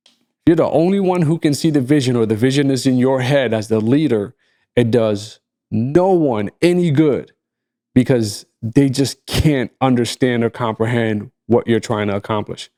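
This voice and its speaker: A man's voice: mid-range voice, moderate pace, dark tone. A man from Egypt whose first language is Arabic, co-hosting a podcast in a reflective casual chat and repeating points for emphasis. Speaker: podcast co-host.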